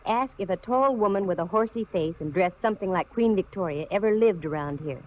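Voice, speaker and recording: An actress playing a breezy, self-assured, hard-boiled private detective in 1940s radio style. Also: female voice, medium pitch, animated, fairly quick, natural voice. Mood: brisk, bossy. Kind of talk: 1940s radio detective acting, narration and dialogue. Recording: muffled, noisy old radio recording.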